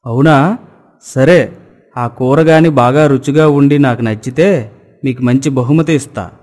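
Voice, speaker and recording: A man's voice: mid-range voice, unhurried, darkish tone, long reverb tail, lightly acted. A man playing a fussy, gluttonous village landlord in a cartoon story, talking in a bossy, demanding way. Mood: demanding, eager.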